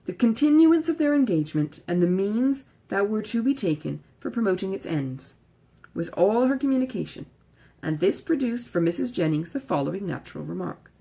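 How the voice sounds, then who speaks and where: Woman, audiobook narrator, scripted literary reading, vocal fry, slightly raspy, medium pitch. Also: sing-song, normal speed, dark tone. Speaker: audiobook reader.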